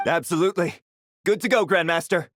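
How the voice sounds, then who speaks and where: A man's voice: sits high, a little fast, fairly bright tone, a little hiss or hum. A man playing an obedient, dutiful knight who reports to his commander in a video game.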